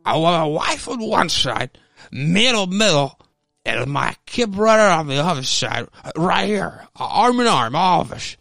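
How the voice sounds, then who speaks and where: A man's voice: a little high, big pitch swings, measured pace, creaky, fairly bright tone, dry recording, studio quality, exaggerated voice. A man playing a happy, dim drunk husband in a radio-play story, slurring and stammering his words. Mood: drunken delight.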